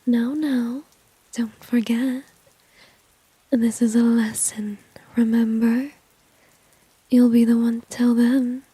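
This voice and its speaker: A woman plays a dominant villainess in an intimate ASMR roleplay, her voice a very breathy, soft whisper. She speaks slowly and smugly, coaxing and teasing with flirty confidence. The sound is close and dry. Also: fairly high pitch, no room sound, exaggerated voice, low-quality recording. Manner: slow, teasing, smug, coaxing.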